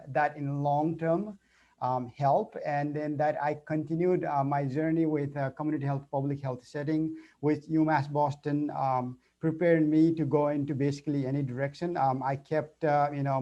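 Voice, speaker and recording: A man's voice: fairly high pitch, dark tone. A man with a Nepali accent, a public health nurse speaking as an alumnus panelist on a video call, hesitant with many fillers. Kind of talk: virtual panel talk. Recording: muffled video call audio.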